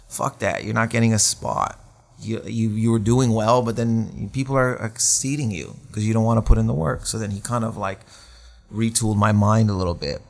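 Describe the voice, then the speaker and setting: Rambling self-deprecating man in his late thirties. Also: on the low side. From Canada. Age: 30s. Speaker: stand-up comedian, guest. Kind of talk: casual interview chat.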